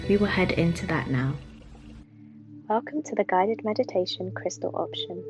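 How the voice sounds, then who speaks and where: Young woman, soft and soothing, casual card reader, mid-range voice, faint music under her, picked up by a camera across a desk. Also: mid-range voice. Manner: chatty and rambling with fillers, later slow and hushed. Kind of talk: casual pick-a-card reading, then guided meditation. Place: small home room with bookshelves, indoors.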